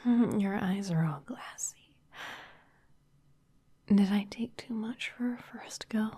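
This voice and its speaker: A woman's voice: mid-range voice, slow pace, mellow, husky. A woman whispering as a smug vampire boss. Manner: whispered throughout. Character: predatory female vampire office boss, smug and teasing. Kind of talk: ASMR roleplay.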